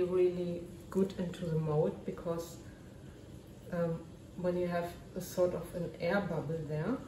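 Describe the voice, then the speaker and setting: A German woman with a German accent speaks slowly and gently, her voice low and mellow. She narrates her crafting in a hesitant way and stops now and then to search for an English word. The voice sits a bit away from the mic in a roomy space. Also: distant mic, a little hiss or hum. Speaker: resin art crafter.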